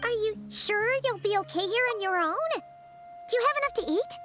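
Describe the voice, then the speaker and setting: A tiny floating fairy girl who talks in a squeaky, childlike voice that shoots very high and jumps all over the place. She is blunt and nosy, quick to tease. Soft game music sits under her lines. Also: expressive. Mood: blunt chatty. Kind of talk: game dialogue.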